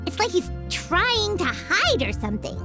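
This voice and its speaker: A woman voicing a small, cheeky, childlike fairy companion in a video game, squeaky and exclamatory. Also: big pitch swings, normal speed. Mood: puzzled, curious. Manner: refers to herself in third person, exclamatory, teasing. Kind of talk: English video game dub acting. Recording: music behind the voice. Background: playful game music.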